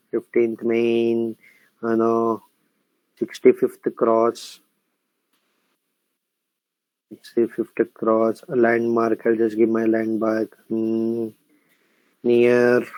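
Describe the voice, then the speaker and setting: A man with an Indian English accent talking through a screen-recorded software tutorial. He explains each step, repeats phrases while he types, and keeps checking in with "correct?" and "okay?". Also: medium pitch, dry recording, lo-fi sound. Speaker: software instructor.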